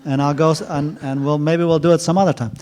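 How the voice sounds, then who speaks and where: A middle-aged man telling a story casually at a university event, halting with many fillers and restarts. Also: on the high side, fairly even intonation, a little mellow, slight background noise. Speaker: author speaking at a university event.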